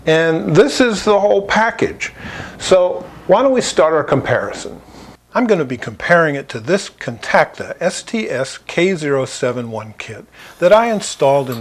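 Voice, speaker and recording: A man narrating a product review as an audio installer, explaining clearly and at a measured pace. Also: a little high, slight background noise.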